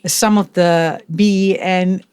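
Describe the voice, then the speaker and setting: A man hosting a podcast interview, asking questions in a measured, deliberate way. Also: high voice, steady tone, a little mellow, smooth, no echo. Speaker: podcast host.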